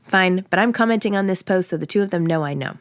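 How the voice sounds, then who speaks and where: A woman's voice: fairly low pitch, quick pace, no echo, clean studio sound, natural voice. A woman voicing a dramatic, distraught fifteen-year-old daughter in an urgent, exasperated way, as in an audiobook. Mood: huffy.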